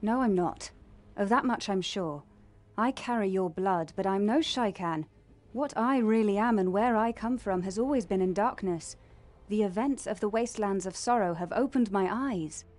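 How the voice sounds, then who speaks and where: Actress as a calm mysterious heroine, medium pitch. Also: female voice, normal speed, close to an everyday voice. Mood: resolute. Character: calm, mysterious woman seeking her true origins. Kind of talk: fantasy video game voice acting.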